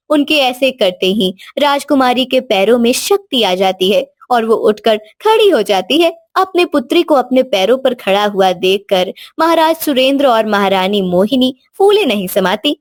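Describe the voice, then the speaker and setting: High-pitched woman narrating a story. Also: animated, moderate pace, hardly any pauses, dry recording, studio quality.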